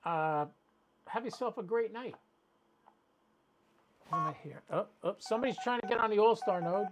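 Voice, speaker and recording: A man's voice: sits high, normal speed, background noise. Chatty man hosting a casual livestream. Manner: chatty, rambling, addressing viewers by name. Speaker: amateur radio livestream host.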